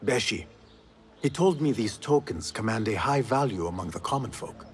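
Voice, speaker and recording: An actor playing an earnest, protective young agent in a video game, with formal phrasing. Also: male voice, lowish voice, animated, moderate pace, a little hiss or hum, natural voice. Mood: earnest, calm. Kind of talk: video game cutscene dialogue.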